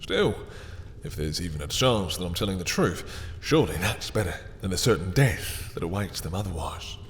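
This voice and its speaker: A man voicing a possessive, sadistic male vampire lord in an audio roleplay, smooth and taunting, with soft chuckles and low whispers. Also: sing-song, normal speed, big-room sound, slight background noise. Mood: coaxing.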